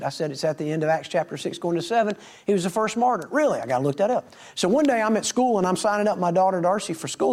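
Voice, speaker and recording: A man's voice: sits high, a little mellow. A middle-aged man, a preacher telling folksy stories to his congregation and acting out the dialogue. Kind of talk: storytelling sermon to a congregation.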